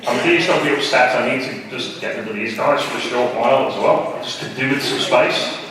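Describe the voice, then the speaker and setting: A man's voice: medium pitch, brisk, a crowd in the background. Man presenting in a very echoey hall. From Liverpool, England. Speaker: conference speaker, marketing director. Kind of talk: informal conference presentation. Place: large conference hall. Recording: very echoey hall, distant, some noise.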